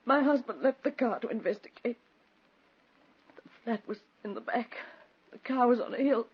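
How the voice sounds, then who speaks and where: An actress playing a cold-blooded, domineering wife who can turn sugary, in a vintage radio thriller. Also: female voice, fairly high pitch, normal speed, slightly rough. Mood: feigned distress. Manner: commanding, sugary when pretending. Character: scheming, cold-blooded, domineering wife plotting her husband's murder. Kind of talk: 1940s-60s radio thriller acting. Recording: muffled, noisy vintage radio recording.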